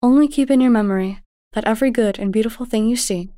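Storybook mother, played by a woman: gentle and devout, measured teaching manner, raised pitch, dry recording. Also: dry recording, natural voice. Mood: devout, calm. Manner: tender, measured, instructive.

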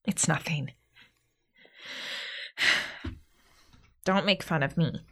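An actress playing a self-conscious, anxious young eldest sister with an American accent, in a naturalistic modern audio drama. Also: female voice, low-pitched, extremely slow, sharp tone, heavily acted. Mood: downcast. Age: young adult. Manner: self-deprecating, earnest.

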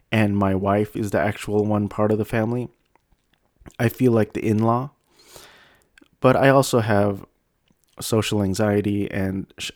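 A young man tells a personal story in a low, rambling, self-deprecating way, restarting his sentences often. The sound is dry and close. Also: darkish tone, no echo. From United States.

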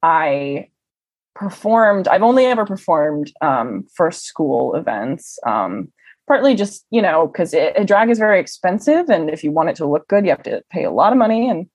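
A young woman telling stories in a casual interview, chatty and animated, with lots of "um" and "like". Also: deep voice, moderate pace, no room sound. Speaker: interview guest. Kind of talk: casual conversational storytelling.

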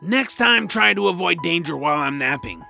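A man's voice: on the high side, lively intonation, normal speed, vocal fry, sharp tone, soft background music, exaggerated voice. A man acting a grumpy, sarcastic swamp creature who likes his naps, in an audio drama. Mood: grumbling. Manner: blunt, sarcastic, grumbling. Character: grumpy nap-loving male swamp creature, gruff reluctant rescuer.

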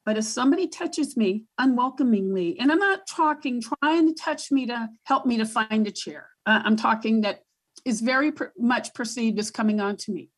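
Casting: woman with a big booming voice, pitched a bit high, emphatic panel guest. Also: moderate pace. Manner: emphatic, repeats herself while thinking. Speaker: guest advocate. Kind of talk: panel discussion.

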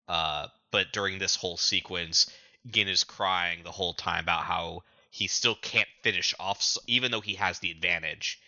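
Male podcast co-host. Deep, sharp-edged voice, stop-start casual storytelling, slightly lo-fi sound. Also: dry recording. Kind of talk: casual recap of manga chapters.

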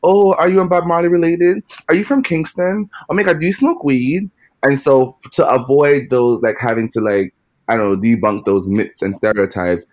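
Man, Jamaican accent, fairly low voice, frank interviewee. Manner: frank, with fillers. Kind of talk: candid personal storytelling.

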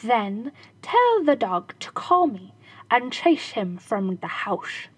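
A woman voicing a cunning shoemaker in a fairy tale, speaking loudly and deliberately. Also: a little high, very animated, slightly rough, dry recording, heavily acted. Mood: sly. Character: cunning village shoemaker, grown man, sly.

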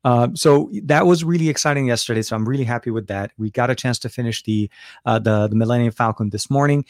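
A young man from Lebanon hosting a casual livestream from home. He rambles and restarts his sentences often, and his voice sits at an ordinary middle pitch. The sound is dry and close, with little room reflection. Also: no room sound. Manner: rambling, halting, with frequent restarts and fillers. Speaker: livestream host.

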